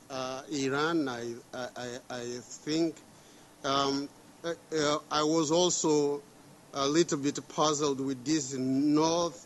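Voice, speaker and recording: Halting man, slow delegate. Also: on the high side, slight background noise. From Mozambique. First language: Portuguese. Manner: halting, many fillers and restarts. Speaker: diplomatic delegate. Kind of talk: formal conference statement.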